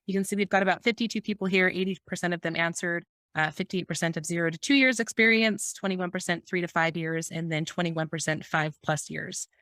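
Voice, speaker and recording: A woman's voice: fairly low pitch, a bit bright, no room sound. A woman moderating a webinar as host, talking in a light, easygoing way.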